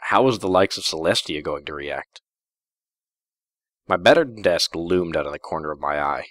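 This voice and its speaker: A man's voice: sits low, lively intonation, normal speed, fairly bright tone, no echo, studio quality. A man narrating a first-person story in a wry, theatrical way. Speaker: fan fiction reader.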